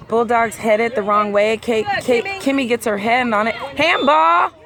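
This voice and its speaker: A woman doing play-by-play of a youth soccer game outdoors, hoarse and losing her voice. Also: fairly high pitch. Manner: rapid play-by-play, rising excitedly at key plays. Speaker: amateur sideline commentator. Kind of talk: play-by-play commentary. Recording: outdoor crowd and traffic noise.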